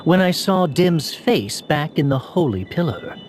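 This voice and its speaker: Troubled, halting young hero, animated pitch. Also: male voice, a little hiss or hum. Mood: haunted. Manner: halting, hesitant, dramatic. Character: troubled young man with fragmented memories, earnest and doubting. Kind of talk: English video game voice acting.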